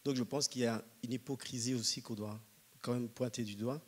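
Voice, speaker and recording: A man from Rwanda speaking as an audience member at a public debate, hesitantly, pausing to find his words. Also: mid-range voice, fairly even intonation, husky. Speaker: audience member asking a question. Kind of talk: public question-and-comment at a debate.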